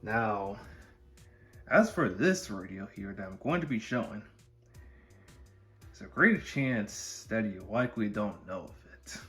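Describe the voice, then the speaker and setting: A man presenting a collection in a casual showcase style, with halting, wordy phrasing. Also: fairly low pitch, animated, sharp tone, faint music behind. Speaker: video creator presenting a collection. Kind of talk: casual product showcase narration.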